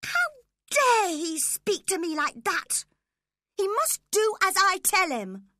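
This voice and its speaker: A woman voicing a spoiled, imperious teenage girl in a storybook reading, with a high, petulant delivery. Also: wildly swooping pitch, slow, pushed and bright, no echo, studio quality, exaggerated voice. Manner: haughty, petulant, high-pitched.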